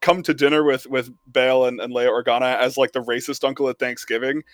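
A man's voice: mid-range voice, flat delivery, a little fast, dry recording. A man on a podcast panel chatting casually in a rambling way, saying "like" a lot. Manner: rambling, peppers talk with "like". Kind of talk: casual chat.

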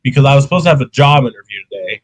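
A man's voice: medium pitch, lively intonation, very fast, breathy edge. A young man who is sick and coughing, hosting a casual podcast chat in a rambling, profane way. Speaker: podcast host.

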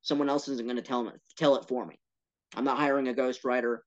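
Man guest on a podcast, animated and earnest, kinda dull lo-fi sound. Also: mid-range voice, fast talker. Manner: earnest, animated storytelling with playful asides. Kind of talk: casual interview talk.

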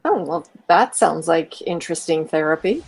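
A woman's voice: low voice, animated, moderate pace, gravelly, slightly rough, no echo, full character voice. A woman playing a mischievous, eccentric woman chatting with a friend in an audio drama. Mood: curious. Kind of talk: amateur audio drama dialogue.

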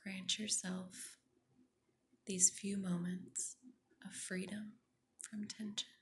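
A woman leads a guided meditation in a gentle, breathy near-whisper. She speaks very slowly, with long unhurried phrasing and a flat, even melody that soothes. Some background noise sits behind her voice. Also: medium pitch. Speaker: meditation guide, podcast host.

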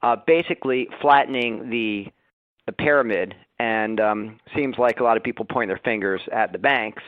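A man's voice: lowish voice, moderate pace, no echo. A man speaking as a financial analyst, asking a long, roundabout question off the cuff on an earnings call. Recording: dry, tinny phone line.

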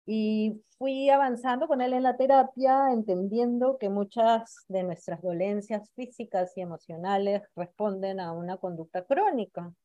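A young adult woman born in Israel, a guest on a podcast, chatting in a relaxed way and laughing lightly as she tells how she got into therapy work. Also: medium pitch, measured pace, hardly any pauses, dry recording, muffled recording. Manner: relaxed, chatty, laughs lightly while telling her story. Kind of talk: casual interview storytelling.

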